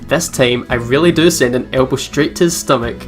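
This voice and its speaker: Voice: medium pitch, fairly quick. A let's player reading out visual novel narration and adding casual commentary. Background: soft guitar game music.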